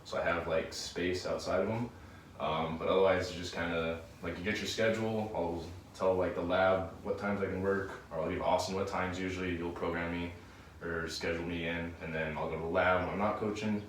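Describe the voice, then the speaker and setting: A man's voice: deep voice, little pitch movement, a little fast. A man in his early twenties chatting casually as a guest, loosely explaining things as he goes. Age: early 20s. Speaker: gym coach and student, podcast guest. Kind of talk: casual chat. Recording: echoey room, distant mic, faint noise.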